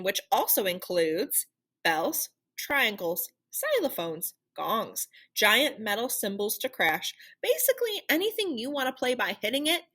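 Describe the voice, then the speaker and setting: A woman reading a children's picture book aloud in an animated, theatrical storytelling manner. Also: a little high, breathy edge. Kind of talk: expressive children's read-aloud narration.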